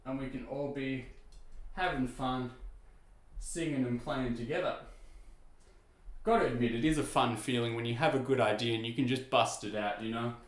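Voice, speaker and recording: A man with a low speaking voice chatting casually and self-deprecatingly to his viewers, rambling with lots of fillers. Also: fairly even intonation, normal speed, pauses often, roomy sound, rough recording. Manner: easygoing, rambling, self-deprecating, many "you know" and "uh" fillers. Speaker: VTuber streamer. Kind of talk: casual chat to stream viewers while restringing a guitar.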